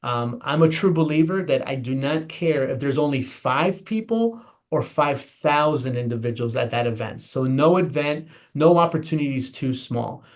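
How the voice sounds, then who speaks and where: A man's voice: mid-range voice, lively intonation, normal speed, few pauses. An American man, a guest elections official, explaining voting steps in an earnest, emphatic way, often repeating and restarting phrases. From United States. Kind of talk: interview explanation.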